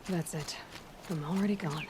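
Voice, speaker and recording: A woman's voice: low voice, moderate pace, background noise, natural voice. A woman playing a defiant young hunter in a video game, speaking in a curt, dry way. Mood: focused.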